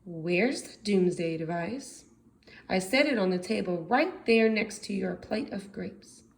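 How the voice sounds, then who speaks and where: A woman's voice: medium pitch, creaky, slight background noise. A woman voicing a bossy, exasperated young girl mad scientist from a storybook, with dramatic, emphatic delivery. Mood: shocked, angry. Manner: dramatic, emphatic delivery with drawn-out stressed words. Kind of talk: storybook character voice in a read-aloud.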